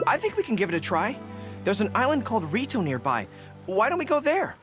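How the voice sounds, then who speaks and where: A woman voicing a youthful, earnest and modest dog-eared general in a video game, expressive and a little hesitant, with music behind. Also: mid-range voice, fairly quick. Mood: earnest, unsure. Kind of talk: scripted game dialogue. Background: background music.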